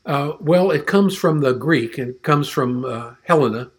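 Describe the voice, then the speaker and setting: A man's voice: a little high, moderate pace. A man teaching an informal Bible class, rambling and conversational, with frequent restarts and fillers.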